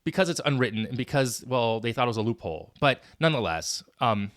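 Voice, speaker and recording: Fast-talking male podcast host. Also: medium pitch, very animated, fairly bright tone, no echo, studio quality. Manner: fast, conversational, quick to agree. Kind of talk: casual interview chat.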